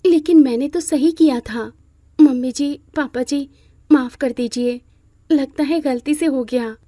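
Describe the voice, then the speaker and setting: A woman's voice: high-pitched, a little slow, dark tone, dry recording. A woman voicing a humble, dutiful young bride in a moral story, meek and respectful. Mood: apologetic. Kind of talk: Hindi moral-story character acting.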